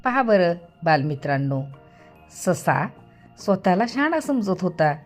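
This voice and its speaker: Slow-paced woman telling children's stories. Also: fairly low pitch, big pitch swings. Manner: drawn-out, repeated words for emphasis. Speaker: children's storyteller. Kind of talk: expressive storytelling for young children. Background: background music.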